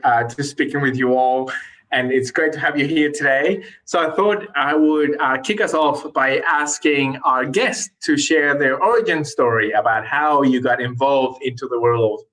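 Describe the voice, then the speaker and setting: Bright, forceful male podcast host. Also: medium pitch, poor audio. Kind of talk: sponsor reads and panel hosting.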